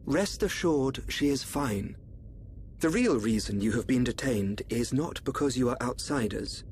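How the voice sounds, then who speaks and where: A man playing a calm, enigmatic royal seer in a video game, formal and assured. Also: medium pitch, a little slow, slight background noise, close to an everyday voice. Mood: composed, reassuring. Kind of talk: dramatic video game voice acting.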